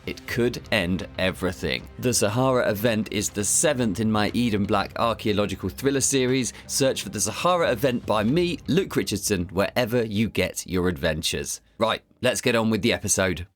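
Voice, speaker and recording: A man's voice: lowish voice, normal speed, hardly any pauses, faint music behind. A man hosting a podcast, moving between scripted narration and interview talk as an adventure novelist.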